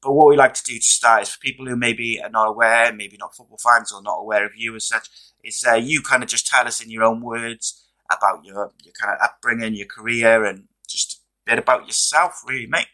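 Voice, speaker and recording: A man hosts a podcast with a bright, clear-toned voice, talking in a relaxed, friendly way. He is hoarse from losing his voice. He speaks into a podcast mic at a middle pitch. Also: fairly quick, low-quality recording. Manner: relaxed, chatty, friendly with fillers. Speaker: podcast host. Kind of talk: casual podcast chat. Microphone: podcast microphone.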